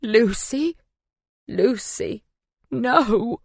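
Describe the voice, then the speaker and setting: An anguished husband in an audiobook drama, played by a woman, accusing and raw with grief. The delivery hisses, moans and cries out in slow, heavy phrases, recorded close and dry. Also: lowish voice, husky, no echo, exaggerated voice. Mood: shocked, frantic. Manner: hissing, moaning, crying out. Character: anguished, angry husband, adult man, grief-stricken and accusing.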